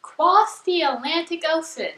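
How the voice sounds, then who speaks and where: A woman's voice: extremely high pitch, lively intonation, measured pace, fairly bright tone. A fifteen-year-old girl from Texas giving an upbeat, casual self-introduction. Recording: echoey room with some noise.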